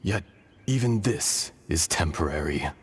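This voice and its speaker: An actor playing an ancient, world-weary earth dragon who speaks gravely and poetically in a video game. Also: male voice, sits low, animated, a little slow, full character voice. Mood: philosophical. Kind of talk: video game story dialogue.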